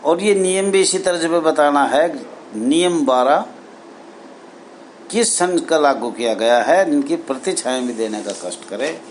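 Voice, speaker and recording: A man addresses association members as their leader, reading out documents and commenting in deliberate, halting short phrases. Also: a little high, poor audio. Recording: steady background noise.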